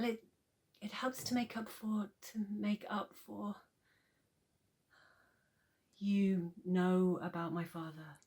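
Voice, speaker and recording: A woman's voice: fairly low pitch, a little mellow. A woman playing a devoted young nursemaid with a troubled past in a radio play, earnest and emotional, faltering as she speaks. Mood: halting, sombre.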